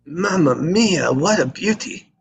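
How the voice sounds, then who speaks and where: A man playing a brash, eager young Sicilian bodyguard with a raspy voice in a live script table read. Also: a little high, animated, takes it slowly, full character voice. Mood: smitten. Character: excitable young Sicilian bodyguard, brash, pleading and star-struck by America.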